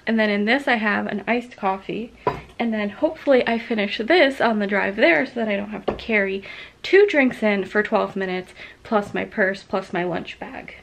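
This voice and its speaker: A woman's voice: medium pitch, normal speed, gravelly, fairly bright tone, slight background noise. A young woman talking frankly to a handheld camera at home, casual vlog chat. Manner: candid, frank storytelling. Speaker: vlogger. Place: living room at home. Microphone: handheld vlog camera at arm's length.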